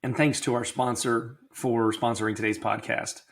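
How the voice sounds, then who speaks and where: A man's voice: medium pitch, level tone, moderate pace, vocal fry. Slightly crackly man hosting a podcast. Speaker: podcast host. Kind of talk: casual personal health podcast monologue.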